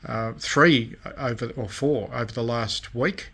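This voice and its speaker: Slow-paced man giving a casual show-and-tell. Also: medium pitch, a bit bright, slightly rough. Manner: halting, pausing often between short phrases. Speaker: radio restoration hobbyist and vlogger.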